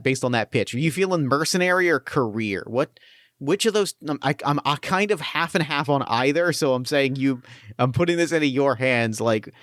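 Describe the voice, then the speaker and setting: A man running a tabletop game as game master, thinking aloud and pitching story ideas with eager restarts. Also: a bit bright, no echo, studio quality. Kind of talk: collaborative planning for a tabletop game.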